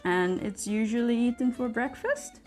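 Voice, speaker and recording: A woman's voice: on the high side, normal speed, a little mellow. Japanese woman hosting in English over guitar music. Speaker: host. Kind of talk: casual scripted chat in English for learners. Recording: music behind the voice.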